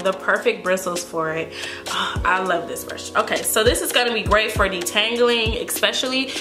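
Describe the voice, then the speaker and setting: A woman beauty vlogger with a stuffy, congested voice from a cold, chatting casually to viewers and filling her talk with "like" and "um". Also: medium pitch. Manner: chatty, filler-heavy, addresses viewers as "you guys". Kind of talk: casual wig review chat. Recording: echoey room with background music.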